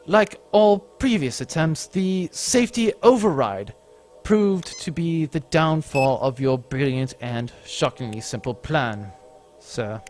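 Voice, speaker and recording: A long-suffering space-opera henchman, played by a man, weary and sardonic, speaking with mock deference. The voice moves widely in pitch over a music bed. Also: animated, takes it slowly, a little mellow. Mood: dry sarcasm. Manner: sarcastic, deferential "sir" at sentence ends. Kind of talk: comedic space-opera audio drama sidekick.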